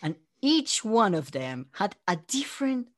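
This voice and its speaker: A man from Spain, chatting casually as an interview guest and telling stories in an animated way. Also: sits high, a little slow. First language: Spanish. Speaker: interview guest, audio drama creator and voice actor.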